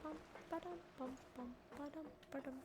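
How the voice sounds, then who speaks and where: A woman's voice: high voice, fairly even intonation, normal speed, very noisy. A woman livestreaming a video game, chatting casually to viewers with playful asides. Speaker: game streamer. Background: video game music.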